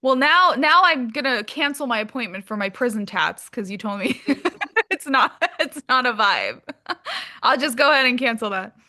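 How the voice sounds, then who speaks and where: A woman's voice: fairly high pitch, lively intonation, moderate pace, pushed and bright. A woman chatting casually as an interview guest, with a playful, informal manner. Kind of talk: casual chat.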